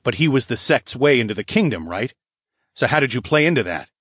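A man's voice: mid-range voice, very animated, a little fast, fairly bright tone, slightly rough, no room sound, studio quality. A man voicing a cocky, tough dragon hero who taunts a prisoner in an audiobook. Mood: taunting. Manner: taunting, sneering.

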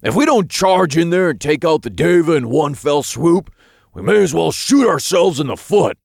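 A man voicing a brash male imp who puts on a gruff war general's voice, bossy and slangy. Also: fairly high pitch, expressive, moderate pace, a little mellow, dry recording, clean studio sound, voice pushed up, exaggerated voice. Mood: blustering. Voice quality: gruff, put-on general's bark.

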